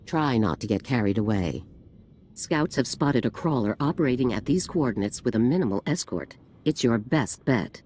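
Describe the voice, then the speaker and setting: An actor playing an authoritative, composed general who briefs troops in a formal, crisp military way in a video game. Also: male voice, mid-range voice, a little mellow, close to an everyday voice. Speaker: military commander giving briefing. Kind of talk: scripted video game dialogue.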